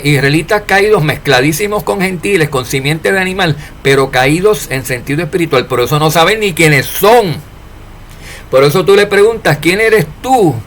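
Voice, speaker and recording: A man preaching an informal sermon to his followers, asking rhetorical questions and swinging between loud and soft. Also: on the high side, a little hiss or hum. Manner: preachy, rhetorical questions, animated rises and drops in loudness.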